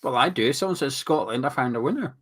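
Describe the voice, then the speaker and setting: A man's voice: medium pitch, lively intonation, fast, husky. A man co-hosting a casual live football chat, reading out viewer comments and teasing his co-host. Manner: reads out comments, teasing banter.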